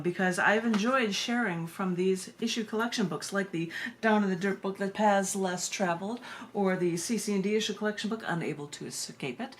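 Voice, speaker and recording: An American woman hosting a live online poetry reading, sharing poems and casual asides with her viewers. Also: mid-range voice. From United States. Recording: noisy live video stream.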